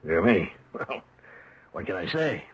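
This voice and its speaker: Genteel older father, very husky, animated yet hesitant, fussy, dull vintage radio sound. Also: low-pitched, unhurried, no echo, exaggerated voice. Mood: awkward, regretful. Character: genteel, flustered older father, apologetic and wealthy. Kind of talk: 1970s radio drama acting. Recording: dull old radio broadcast recording.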